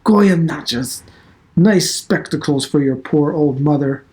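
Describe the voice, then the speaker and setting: A man's voice: fairly high pitch, slow, darkish tone. Man voicing a severe, reproachful old immigrant father. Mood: contempt. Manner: broken immigrant English, reproachful questions.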